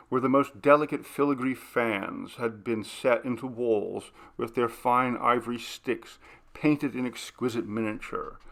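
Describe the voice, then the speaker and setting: Guy reading a gothic story slow and steady, gravelly voice, middle pitch. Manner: measured, unhurried storytelling. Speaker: audiobook reader. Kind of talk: scripted narration.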